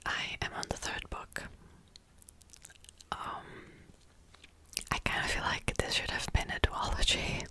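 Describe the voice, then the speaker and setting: A woman's voice: measured pace, long pauses, pushed and bright. A young woman whispering a rambling, casual chat about books as an ASMR host, close to the mic, with many fillers. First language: Czech.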